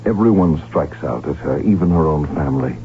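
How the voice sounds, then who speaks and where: Casting: desperate, proud imperial heir, very deep, formal and intense, vintage radio drama sound. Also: male voice, lively intonation, fairly quick, a little hiss or hum. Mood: bitter. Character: proud, desperate, defiant middle-aged male Habsburg heir. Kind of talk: 1970s radio drama acting. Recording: tinny, humming old radio recording.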